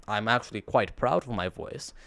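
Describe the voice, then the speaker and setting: A teenage boy with a deep radio voice, talking earnestly to camera in a rambling vlog monologue with sarcastic asides. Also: normal speed, gravelly, sharp tone. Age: teens. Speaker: vlogger.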